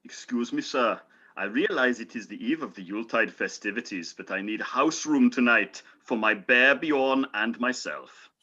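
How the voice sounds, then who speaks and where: A man's voice: medium pitch, expressive, moderate pace, pushed and bright, husky, lo-fi sound. A man playing an earnest, brave medieval Norseman in an amateur radio play, speaking in mock-archaic, declamatory phrases. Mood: courteous.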